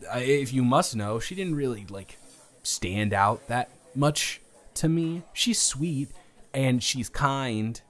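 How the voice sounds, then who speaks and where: A man's voice: medium pitch, lively intonation, takes it slowly. Young man, teasing, rambling, restarts, close mic, audio roleplay. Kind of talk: improvised first-person romance roleplay.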